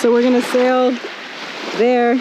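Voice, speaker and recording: A woman's voice: fairly high pitch, completely flat delivery, takes it slowly, background noise. A woman from the United States chatting casually and jokingly on a tropical beach.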